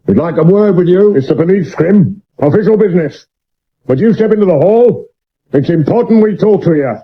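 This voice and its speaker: A man's voice: high-pitched, expressive, brisk, slightly rough. A man playing a brusque, dogged Victorian police inspector in a period radio drama, terse and commanding. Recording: muffled 1970s medium wave radio recording.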